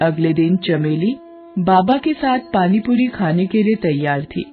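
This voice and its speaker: A woman narrating a cartoon story in a measured storytelling manner. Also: fairly low pitch, lively intonation. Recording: dull lo-fi audio, faint music.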